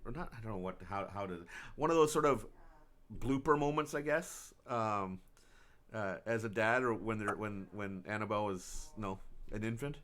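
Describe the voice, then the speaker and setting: A man's voice: lowish voice, normal speed, fairly bright tone. A man co-hosting a casual chat about parenting, who rambles and restarts his sentences. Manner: rambling, restarts and laughs often. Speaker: podcast co-host.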